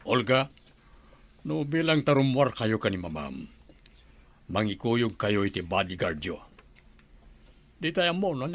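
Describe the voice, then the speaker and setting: Animated man playing a fatherly governor. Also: medium pitch. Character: fatherly, principled newly elected governor. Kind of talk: Ilocano audio drama acting.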